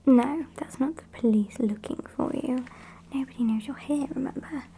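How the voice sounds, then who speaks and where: A British woman voicing a sassy, politely menacing vampire kidnapper in a breathy, deadpan ASMR whisper. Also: sits high, full character voice. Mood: dry teasing. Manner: unhurried, chatty, deadpan.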